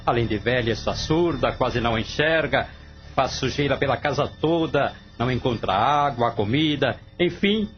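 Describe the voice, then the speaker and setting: A man's voice: medium pitch, normal speed, natural voice. A man acting in a radio drama as a callous, irritated pet owner with an impatient, complaining delivery. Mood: annoyed, callous. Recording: dull lo-fi radio drama, faint music.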